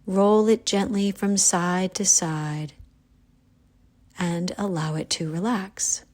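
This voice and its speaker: A woman guiding a yoga nidra relaxation, giving calm, gentle, unhurried instructions with pauses. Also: lowish voice. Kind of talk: guided relaxation meditation.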